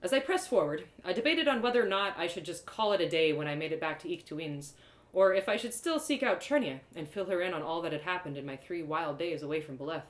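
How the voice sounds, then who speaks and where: A woman reading a first-person fantasy story aloud in a dramatic, expressive way. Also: on the low side, fast talker. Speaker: storyteller, author reading live. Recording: roomy echo.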